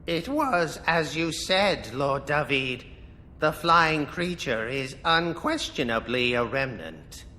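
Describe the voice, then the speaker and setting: A man acting a solemn, formal retainer in a video game, speaking in measured, archaic phrases. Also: a little high, animated, sharp tone, a little hiss or hum, heavily acted. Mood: formal, reporting. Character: solemn, formal male retainer explaining lore gravely.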